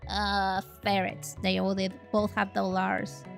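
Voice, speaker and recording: A woman from Chile chatting casually and excitedly while streaming a game, with the odd swear. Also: medium pitch, unhurried, gravelly, soft background music. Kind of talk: casual gaming livestream chat.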